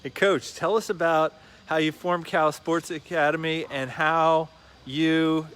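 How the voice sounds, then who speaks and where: A man's voice: a little high, fairly even intonation, a little slow, a bit bright. A man hosting a casual livestream interview in an upbeat, chummy way. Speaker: interviewer, real estate agent. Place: sports academy gym.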